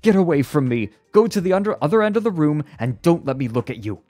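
A man's voice: on the low side, animated, slow pace, dark tone, dry recording, faint music behind. A man voicing a tense, bitter woman psychologist in an audiobook, with brittle intensity. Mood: furious. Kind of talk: audiobook character voice.

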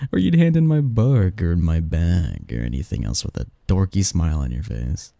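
A man playing a snarky young research assistant who fondly teases a friend in a halting, wordy, intimate ASMR roleplay monologue. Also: sits low, big pitch swings, gravelly, mellow, dry recording, studio quality, heavily acted. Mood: teasing, fond. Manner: halting, sighing, teasing, wordy.

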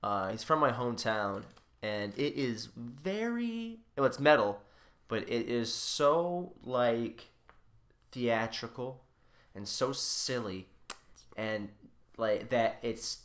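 A man hosting a casual podcast in an animated, joking, profane way. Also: medium pitch, slow. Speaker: podcast host.

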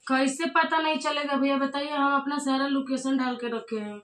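A woman's voice: sits high, steady tone, normal speed, a bit bright. A woman in her thirties with an eastern Hindi-belt accent makes an insistent complaint on a phone call, repeating her points, recorded in a small room. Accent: eastern Hindi belt (Bihar/eastern UP). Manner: insistent, repetitive, remonstrating. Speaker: vlogger. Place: small bedroom indoors. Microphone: camera a short distance away. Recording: echoey small room, faint noise, clicks.

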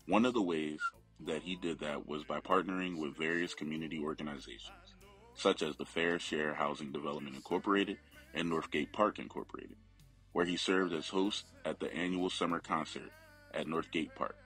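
A man with an extremely deep voice reads a memorial biography as a family member. His words come from a prepared script, with faint music playing behind him. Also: normal speed, fairly bright tone, husky, rough recording.

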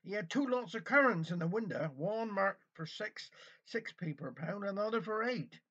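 A man's voice: high-pitched, expressive, normal speed, fairly bright tone, slightly rough, muffled recording, voice pushed up, full character voice. Man playing a chatty, indignant Cornish village woman. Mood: indignant gossip. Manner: gossipy, indignant, dialect-heavy.

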